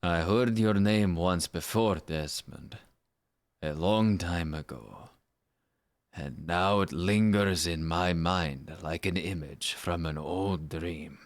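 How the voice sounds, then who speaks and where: A man voicing a solemn, world-weary older assassin in a game monologue, with a measured, grave, wistful delivery. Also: deep voice.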